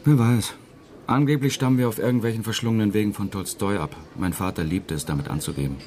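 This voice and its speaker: An actor playing a flirtatious, self-assured circus acrobat in a radio drama, teasing and understated. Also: male voice, lowish voice, fast, a little mellow, lightly acted. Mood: wry.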